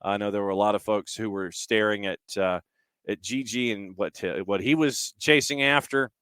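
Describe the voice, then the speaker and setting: A man's voice: fairly low pitch, moderate pace, fairly bright tone, clean tone, no echo, studio quality. A man hosting a casual sports talk show, talking in a wordy way with digressive asides. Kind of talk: casual sports talk and live-read sponsor spots. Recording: music bed behind the voice. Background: background music.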